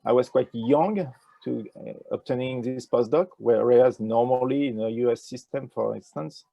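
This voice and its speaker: An older man with a French accent talks in a gravelly, mellow voice. He answers in a halting way, full of fillers and restarts. The sound is dry, from a wired earphone mic on a video call. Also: mid-range voice, no room sound, muffled recording. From France. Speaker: ecology professor, interview guest. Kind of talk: casual interview answers. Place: indoors with a virtual nature backdrop.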